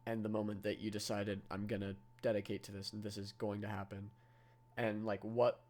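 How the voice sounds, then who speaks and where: A man's voice: fairly low pitch, level tone, a little fast, darkish tone. A young man hosting a call interview, asking long, rambling questions with restarts. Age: young adult. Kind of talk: casual interview over a call.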